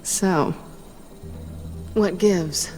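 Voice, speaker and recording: A woman's voice: fairly low pitch, extremely slow. An actress playing a sly, tough female thief in a video game, with a wry, teasing, guarded way of talking. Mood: hushed concern. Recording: game audio under loud music.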